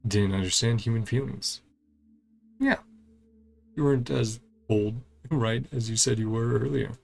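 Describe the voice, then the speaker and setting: A man's voice: mid-range voice, unhurried, gravelly, a little mellow, soft background music. A man doing casual playthrough commentary on a video game, speaking in clipped fragments and murmured reactions. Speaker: gaming channel host.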